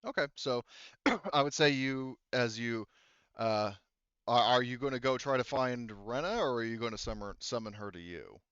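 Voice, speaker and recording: A man running a tabletop roleplaying game as game master, narrating casually in a halting, conversational way. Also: mid-range voice, fairly bright tone, clean tone, dry recording, muffled recording.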